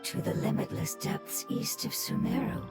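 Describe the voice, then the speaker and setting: A woman voicing a solemn forest spirit in a video game, speaking in a measured, cryptic way. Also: over music, close to an everyday voice. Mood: cryptic, musing. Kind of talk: scripted game dialogue.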